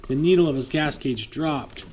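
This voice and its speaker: A man reading a picture book aloud to his child at bedtime, lively, pausing to chat with the child. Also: on the high side, background noise. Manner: lively read-aloud, voices for the trucks, chats with the child. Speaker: father reading a bedtime story.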